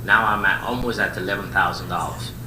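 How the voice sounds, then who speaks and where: A man resident speaking during public comment at a township council meeting, impassioned and halting, pleading for the youth. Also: low-pitched, reverberant, noisy background.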